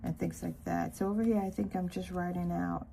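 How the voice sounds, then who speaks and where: A woman from Ghana giving a casual walkthrough narration in an unhurried way, with hesitant pauses. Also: fairly low pitch, flat delivery, vocal fry, warm tone, slight background noise. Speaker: planner vlogger.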